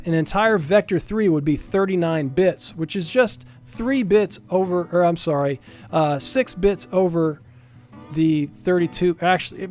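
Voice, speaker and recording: A man's voice: on the high side, darkish tone. A man giving an informal, explanatory screen-recorded tutorial as a game developer, thinking aloud as he goes. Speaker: game developer presenting a software demo.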